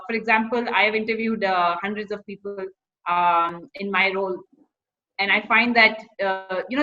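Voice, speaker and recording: A woman with an Indian accent shares informal career advice as a corporate recruiter. Her voice sits fairly high and sounds very bright and crisp-edged, and she mixes Hindi phrases into her English. The audio is slightly dull and lo-fi.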